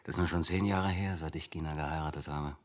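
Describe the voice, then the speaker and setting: An actor playing a jovial, bantering office colleague of fifty in a German radio drama. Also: male voice, extremely low pitch, steady tone, fast. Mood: teasing, chummy. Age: 50s. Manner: bantering, mocking. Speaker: supporting actor.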